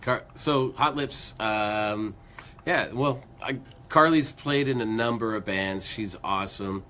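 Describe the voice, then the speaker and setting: A man co-hosting a music show in casual, rambling chat, a bit hoarse from losing his voice. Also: fairly low pitch, takes it slowly, pushed and bright, a little hiss or hum. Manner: rambling, upbeat, lots of fillers. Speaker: co-host of a music news show.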